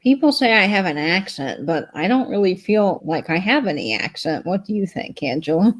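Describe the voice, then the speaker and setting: A woman's voice: fairly low pitch, animated, moderate pace. A woman co-hosting a casual livestream chat and reading out viewer comments in an easygoing, enthusiastic way.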